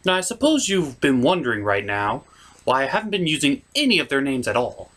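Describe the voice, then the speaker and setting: Very animated, sarcastic male reviewer. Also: medium pitch, brisk. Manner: sarcastic, emphatic. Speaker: comic movie reviewer. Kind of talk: scripted comedic recap and critique.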